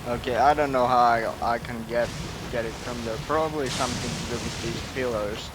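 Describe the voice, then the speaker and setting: A man's voice: medium pitch, fairly even intonation, a little fast. A man giving casual, unscripted gameplay commentary, half muttering to himself as he plays. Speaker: gaming streamer playing Genshin Impact. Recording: noticeable background noise.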